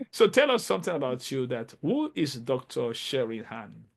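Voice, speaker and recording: A man with a medium-pitched voice hosts an interview podcast. He speaks emphatically and with enthusiasm, often stopping to restart or repeat a word before he lands his point. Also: sing-song, measured pace, vocal fry. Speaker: podcast host.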